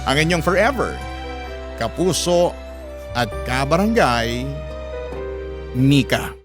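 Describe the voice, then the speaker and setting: A man reading a letter aloud as a radio storyteller, in sentimental, measured, dramatic narration. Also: mid-range voice, sing-song. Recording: loud background music. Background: music bed.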